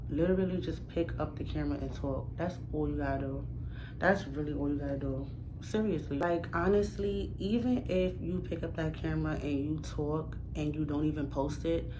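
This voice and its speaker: A young woman from New York with a New York accent, giving casual, emphatic advice straight to camera in a slangy, direct way that repeats her points. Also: low-pitched. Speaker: new YouTuber. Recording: audible background noise.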